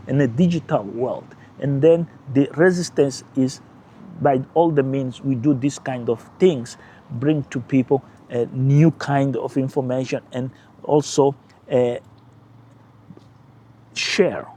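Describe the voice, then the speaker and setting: A man whose first language is Portuguese speaks English with a Portuguese-speaking accent, and his voice sits a little high for a man. He hosts his own channel in an animated, emphatic way and drops short laughs into his points. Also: a little hiss or hum. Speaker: channel host. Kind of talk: casual political commentary.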